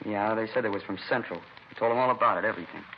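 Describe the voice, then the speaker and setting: An actor playing an evasive, streetwise seventeen-year-old American delinquent in slangy, grudging radio drama dialogue. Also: male voice, on the low side, racing, gravelly. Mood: sullen, evasive. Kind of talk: 1950s radio drama acting. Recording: dull, noisy vintage radio recording.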